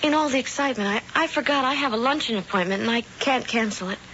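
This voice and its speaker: A naive, sweet young woman, played by a woman, whose bright, slightly high voice stumbles over its words. She comes through a dull old radio broadcast with faint music. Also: quick pace, a little hiss or hum, lightly acted. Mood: earnest. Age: young adult. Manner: polite, flustered, stammering. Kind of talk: 1970s radio drama acting.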